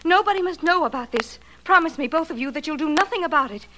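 A frightened young woman in an old radio mystery. Her voice is very high and urgent, faltering as she pleads in melodramatic 1940s style. A light layer of noise sits on the vintage recording. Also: animated, fast talker, pushed and bright. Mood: terrified, pleading.

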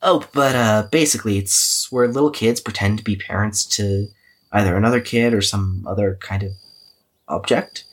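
A man's voice: fairly low pitch, normal speed, natural voice. An actor playing a weary, self-effacing young man who hesitantly records a wry, cynical audio diary in a drama podcast. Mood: awkward, musing. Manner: hesitant, musing, wryly cynical.

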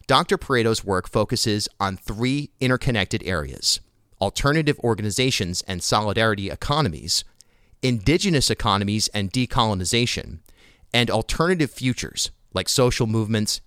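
Smooth, low-voiced male podcast host. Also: a bit bright, dry recording, clean studio sound. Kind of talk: podcast introduction and interview.

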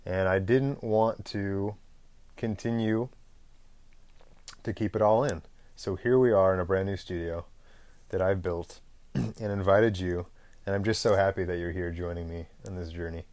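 Low-voiced man rambling as podcast host. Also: steady tone, a little slow, long pauses, a little mellow, dry recording. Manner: loose and rambling, with many ums and throat clearing. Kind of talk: unscripted solo podcast monologue.

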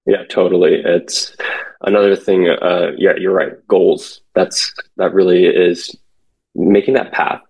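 Podcast guest: young man, very low husky voice, relaxed and halting, lots of ums. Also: poor audio. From born in Korea, raised in San Francisco Bay Area. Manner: hesitant, many fillers, relaxed. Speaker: guest, commercial real estate associate. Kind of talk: casual podcast conversation.

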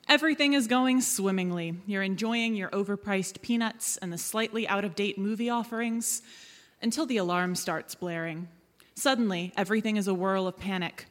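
Woman, bright forceful voice, theatrical storyteller, scripted live show introduction, long hall reverb. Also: mid-range voice. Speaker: presenter of a live audio drama. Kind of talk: scripted narration to a live audience. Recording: echoey hall, live stage.

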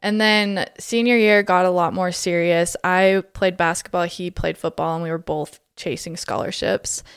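Low gravelly-voiced young woman storyteller. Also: steady tone, normal speed, no echo, studio quality. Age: 20s. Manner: chatty, lots of "like" fillers. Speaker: podcast guest. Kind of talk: casual storytelling.

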